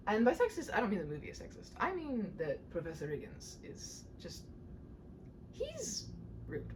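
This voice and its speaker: A woman narrates like a hobby audiobook reader, informal and self-deprecating. Her intonation is highly varied. The voice sits some distance from the microphone, with slight noise in the recording. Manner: chatty, self-deprecating, rambling asides. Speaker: amateur audiobook reader and channel host. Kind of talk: storybook narration with casual asides. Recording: distant mic with faint noise.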